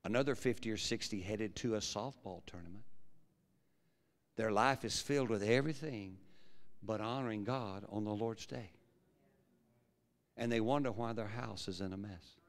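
A pastor preaching a sermon with a big, projecting voice that swings from quiet low musing to loud fervent preaching. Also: male voice, moderate pace, pauses often. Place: large church hall. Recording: long hall reverb.

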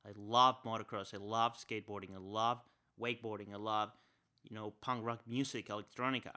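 A man's voice: lowish voice, steady tone, fairly quick, bright, forceful tone. A young man with an accent from Spanish as his first language, hosting a podcast with energy, often repeating points and tagging "right?". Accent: Spanish-speaker's accent. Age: young adult. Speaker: podcast host. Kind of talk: podcast interview and solo wrap-up.

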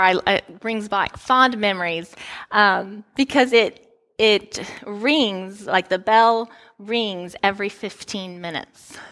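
A woman's voice: mid-range voice, fairly bright tone. Woman telling a story in a sermon. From Italy. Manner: conversational, storytelling, with fillers and restarts. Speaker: Bible teacher. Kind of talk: personal story leading into a sermon.

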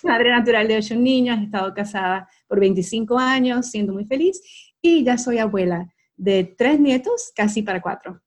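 A woman in her forties with a Puerto Rican accent, a guest on an interview, telling her life story at an unhurried pace and stretching words for emphasis. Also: on the high side. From Puerto Rico. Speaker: guest, life coach and clinical hypnotist.

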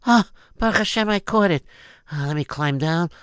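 A man's voice: high-pitched, lively intonation, moderate pace, fairly bright tone, clear, resonant tone, no room sound, studio quality. A man doing an earnest young woman fleeing. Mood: determined.

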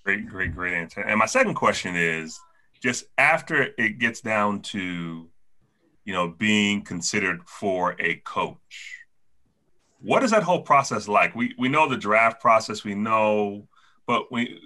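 Very deep, sharp-toned man host. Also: lively intonation, measured pace. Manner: rambling, repeats himself, restarts questions. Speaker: podcast host. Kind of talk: interview questions.

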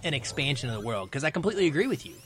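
A man's voice: on the high side, sing-song, very fast, fairly bright tone. A man talking casually and enthusiastically about films as a podcast guest. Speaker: podcast guest, film critic. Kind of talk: casual film discussion.

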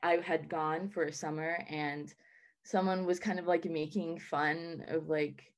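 A woman's voice: low-pitched, fairly even intonation, measured pace. A young woman from the American South answering interview questions in a casual, chatty way, full of "like" and "um". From Southern United States. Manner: chatty, lots of "like" and "um" fillers.

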